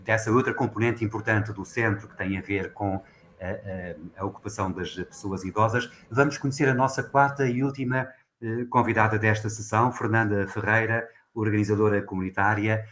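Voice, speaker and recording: Formal, measured man hosting. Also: fairly low pitch, fairly even intonation, fairly bright tone, slight background noise. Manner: formal, measured, courteous introductions. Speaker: host.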